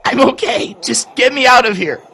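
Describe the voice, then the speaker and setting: A man acting a whiny, apologetic, panicky teenage boy in a dramatic video game, high, strained and creaky. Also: normal speed, pushed and bright, a little hiss or hum, exaggerated voice. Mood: pained, scared.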